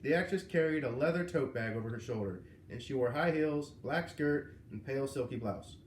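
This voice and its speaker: A man's voice: medium pitch, moderate pace. A man narrating a children's novel aloud. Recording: roomy, echoing, noisy home recording.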